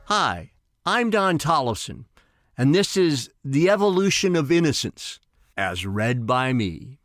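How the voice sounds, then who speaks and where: A man's voice: medium pitch, big pitch swings, unhurried, a bit bright, faint music behind. A man in his sixties reading his own personal essay aloud. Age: 60s.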